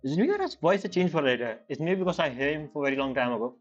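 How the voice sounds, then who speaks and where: A woman's voice: sits low, lively intonation, racing. Chatty non-native English-speaking woman gamer. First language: not English. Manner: chatty, reactive, hesitant phrasing. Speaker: gaming streamer. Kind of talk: casual reaction commentary on a game. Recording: slightly dull mic, game music behind.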